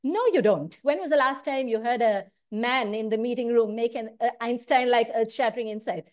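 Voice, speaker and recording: A woman's voice: a little high, moderate pace. A woman in her forties, originally from India, a managing partner speaking earnestly about her work, often ending points with "right?", recorded through a wired earphone mic on a video call from a room at home. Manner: earnest, animated, often tags lines with "right?". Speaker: consulting firm managing partner. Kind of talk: direct-to-camera talk on career and work.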